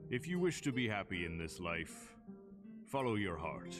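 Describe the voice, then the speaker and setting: Solemn, deep-voiced cartoon king. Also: very animated, normal speed, music in the background. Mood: calm, regal. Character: wise, solemn king tormented by indecision. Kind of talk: animated fairy-tale drama.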